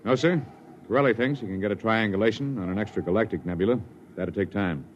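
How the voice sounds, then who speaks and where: Formal male first officer, played by an actor, quick military reports, dull noisy vintage radio recording. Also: fairly low pitch, natural voice. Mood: dutiful. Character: efficient, dutiful male first officer reporting to his captain. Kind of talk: 1950s radio drama acting.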